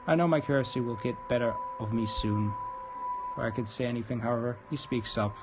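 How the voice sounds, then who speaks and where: A man's voice: medium pitch, quick pace, rough recording. Guy reading a visual novel on stream, mid voice, stumbles over lines, game music playing behind. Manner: informal, stumbling over read text. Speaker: livestreamer. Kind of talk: reading visual novel narration aloud, casual commentary.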